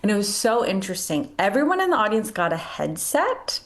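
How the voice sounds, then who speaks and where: A woman's voice: mid-range voice, brisk. A woman in her thirties, born in the United States with Korean as her first language, talking casually as a novelist guest over a video call. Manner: relaxed, conversational, casual fillers. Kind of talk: remote interview answers.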